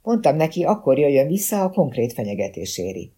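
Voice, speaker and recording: A man's voice: on the high side, very animated, warm tone, slightly rough, pitched above the performer's own voice. A man voicing an uneasy young rookie policewoman who explains herself defensively in an audiobook.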